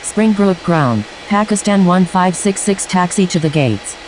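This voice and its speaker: A woman's voice: fairly low pitch, expressive, a little slow, a little mellow, low-quality recording. A woman playing an airline pilot making clipped, businesslike radio calls in an air traffic control game. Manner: clipped, formulaic, businesslike callouts.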